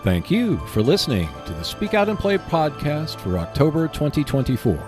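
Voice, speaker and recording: A man hosting a hobby podcast, reading scripted announcements in a measured, clearly enunciated way. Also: on the high side, very animated, over music. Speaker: podcast host.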